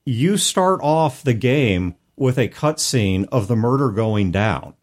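A man co-hosting a casual discussion about tabletop gaming, explaining things in a measured way. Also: mid-range voice, lively intonation. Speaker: podcast co-host and game master.

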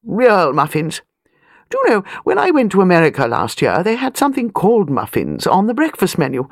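A woman's voice: on the low side, wildly swooping pitch, brisk, no room sound, clean studio sound, voice pushed up, exaggerated voice. A woman voicing an imperious, chatty elderly English aristocrat in clipped, emphatic phrases for an audiobook. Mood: emphatic, exclaiming. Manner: clipped, dropped-subject, emphatic speech.

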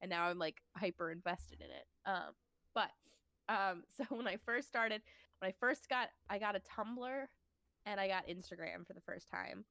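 A woman's voice: medium pitch, big pitch swings, normal speed, vocal fry, sharp tone, no room sound. Casual teasing young woman, nasal and congested, dry close mic. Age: young adult. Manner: teasing, laughs often. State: congested with a cold. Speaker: podcast co-host. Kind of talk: casual chat.

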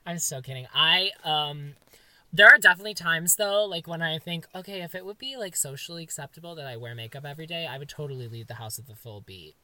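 Young man, very high voice, sharply bright tone, dry close sound, campy joking. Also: quick pace, dry recording, poor audio. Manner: joking, campy, playfully dramatic. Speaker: podcast co-host. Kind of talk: casual chat.

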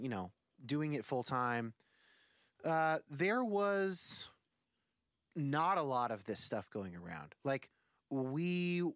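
A man's voice: medium pitch, a bit bright, no room sound, clean studio sound. A man in his forties, a musician guest on a podcast, talking casually in a rambling, thoughtful way with many fillers. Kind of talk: casual conversation.

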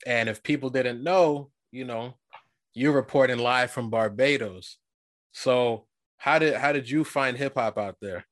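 Relaxed, rambling host from Guyana. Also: male voice, medium pitch, a little slow, dry recording, studio quality. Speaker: podcast host. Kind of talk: casual interview chat.

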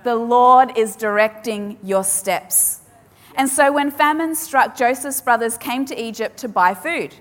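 A woman preacher, a native Polish speaker, delivers a sermon in an emphatic style, repeating key lines for effect. Her voice sits a little high. It carries with the long reverb of a large hall. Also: fairly bright tone. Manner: emphatic, repeats key phrases with dramatic pauses.

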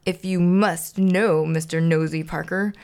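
Casting: gruff, scornful trash-can grouch for a storybook, gravel-voiced grumbler, played by a woman. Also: on the low side, fairly even intonation, measured pace, darkish tone. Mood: grouchy, scornful. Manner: grumbling, dismissive.

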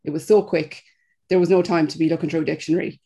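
A woman's voice: low voice, steady tone, quick pace, mellow, slightly rough. A woman with a Kerry Irish accent on a video call, talking as an editor, easygoing and repeating words as she thinks. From Kerry, Ireland. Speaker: film editor. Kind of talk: panel discussion.

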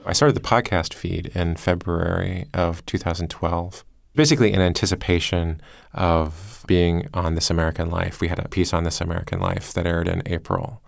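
A man's voice: deep voice, steady tone, normal speed, creaky, no room sound, studio quality. A man who produces a podcast, explaining his work reflectively in an interview with hesitant, thoughtful phrasing.

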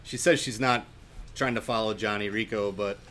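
A man talking casually and enthusiastically in a film discussion, in a halting, rambling way. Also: fairly low pitch, fairly even intonation, pushed and bright. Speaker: podcast panelist.

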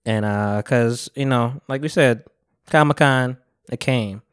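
A man's voice: medium pitch, unhurried, smooth. A man in his thirties hosting a podcast, chatting casually and joking with a laid-back manner. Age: 30s. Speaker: podcast host.

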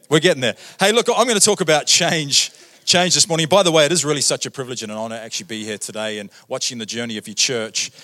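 A man's voice: mid-range voice, pushed and bright. A man in his thirties with a New Zealand accent, preaching as a guest pastor in a church, chatty and joking with many fillers and "right?" tags. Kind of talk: sermon. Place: church auditorium.

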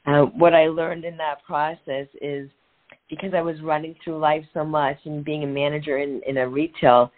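A woman's voice: low voice, level tone. Earnest woman guest on a tinny line. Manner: earnest and reflective, speaks in short phrases with pauses. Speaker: guest, author and transformational coach. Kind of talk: candid personal story in a podcast interview.

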